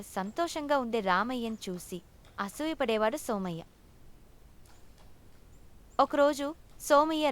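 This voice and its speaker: Higher-pitched woman narrating a moral tale. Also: fairly bright tone, slight background noise. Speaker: storyteller. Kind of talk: scripted narration of moral stories.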